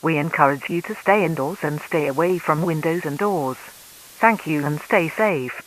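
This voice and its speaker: A man's voice: fairly high pitch, a little slow, a bit bright, slight background noise, low-quality recording. A man reading a scripted urgent public announcement as an emergency broadcast announcer.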